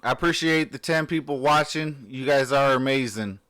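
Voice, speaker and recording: A man hosting a live stream, reacting to a game and chatting with viewers in a sleepy, just-woken voice, cheering like an excitable fan. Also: mid-range voice, normal speed, no echo. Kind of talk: casual live reaction chat.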